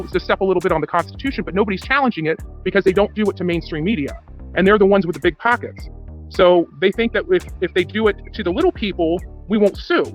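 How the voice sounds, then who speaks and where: Woman, self-assured, argumentative, rambling off-the-cuff commentary, online true crime reporter, faint background music. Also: lowish voice. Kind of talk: rambling unscripted monologue.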